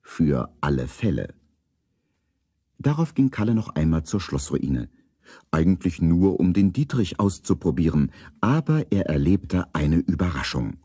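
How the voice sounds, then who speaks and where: A man's voice: low voice, very animated, measured pace, dark tone, breathy edge, no room sound, clean studio sound, full character voice. A man narrating a 1970s German children's radio play in a scripted storybook style. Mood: measured storytelling.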